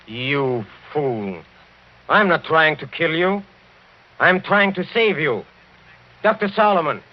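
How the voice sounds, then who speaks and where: An actor playing a suave, sinister count in a 1940s radio horror drama, speaking in a deliberate, theatrical, mocking way. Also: male voice, a little high, expressive. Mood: urgent. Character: suave sinister middle-aged count, intense, coolly menacing. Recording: muffled, noisy vintage radio recording.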